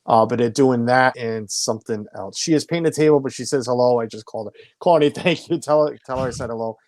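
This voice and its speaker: A man's voice: mid-range voice, fast talker, a little mellow, dry recording, clean studio sound. A man in his forties hosting a casual live stream from home, chatty, stumbling over names and reading viewer comments aloud. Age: 40s. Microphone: home streaming setup.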